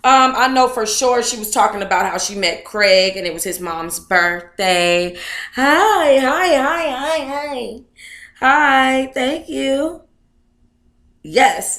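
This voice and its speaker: A woman's voice: sits high, lively intonation, slow, fairly bright tone. Echoey American woman hosting a book club. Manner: sassy, colloquial, addresses listeners as honey and baby. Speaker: book club livestream host. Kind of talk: casual livestream chat and reading a memoir aloud. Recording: echoey, roomy sound.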